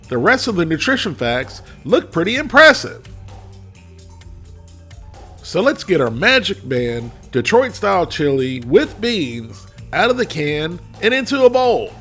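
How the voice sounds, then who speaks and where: A man's voice: fairly high pitch, a bit bright. A man hosting a casual food review video. He is congested and his voice is a little cracky, and he talks to viewers in a folksy, chatty way. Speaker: food reviewer, channel host.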